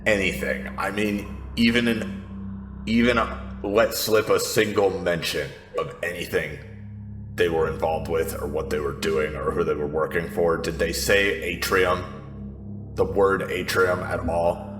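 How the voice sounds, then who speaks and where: A man's voice: low voice, steady tone, measured pace, over music. A man playing a troubled, hard-edged woman pressing for answers in a tabletop game. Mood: probing.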